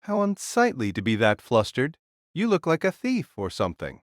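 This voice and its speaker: A man's voice: wildly swooping pitch, normal speed, no room sound, clean studio sound. An actor playing a huge warrior king spirit in an audio drama, bold, imperious and unflustered. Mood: unbothered, proud. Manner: imperious, booming, declarative.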